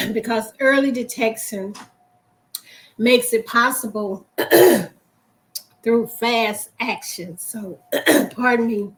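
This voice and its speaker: A woman hosting a faith broadcast, hoarse and losing her voice, often clearing her throat as she gives a reflective, encouraging talk. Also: fairly high pitch, drawn-out words, long pauses, rough recording.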